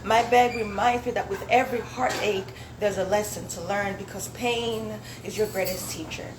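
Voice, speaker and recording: A young woman recites spoken word poetry in a measured, reflective way. Her shapes her vowels. She is caught in a roomy hall, with steady background noise around her. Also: mid-range voice. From Zambia. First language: Swahili. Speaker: poet. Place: indoor dinner event hall.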